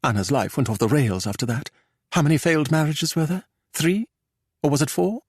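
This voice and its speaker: A man's voice: on the high side, brisk, a little mellow, husky, no room sound, studio quality, pitched above the performer's own voice. A man voicing an arch, gossipy, well-preserved society woman for an audiobook.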